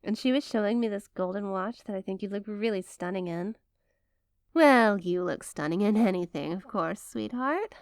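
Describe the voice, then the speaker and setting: A woman's voice: mid-range voice, a little mellow, dry recording, clean studio sound, lightly acted. A woman playing a rich, possessive, doting girlfriend in an intimate ASMR roleplay, sweet-talking one listener in a coaxing way. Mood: resigned, doting.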